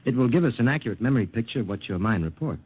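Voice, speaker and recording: An actor playing a calm, clinical doctor who speaks in a measured, authoritative way, in a 1950s radio drama acting style, heard through a tinny old radio broadcast. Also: male voice, mid-range voice, husky. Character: calm, clinical middle-aged male doctor.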